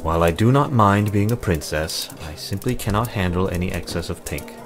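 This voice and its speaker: A man playing a solemn, literal-minded warrior in a visual novel, speaking formally and with earnest deadpan. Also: low voice, brisk. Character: solemn, literal-minded adult male guard turned reluctant lord. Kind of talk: visual novel character acting. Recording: voice under prominent music.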